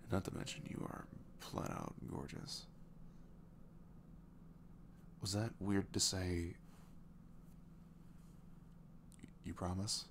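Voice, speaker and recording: A man playing a sweet, earnest single dad in an intimate ASMR roleplay, soft and hesitant, often dropping to a whisper. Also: sits low, steady tone, normal speed, vocal fry, a little hiss or hum. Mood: shy, flirtatious. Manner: hesitant, gentle, murmured.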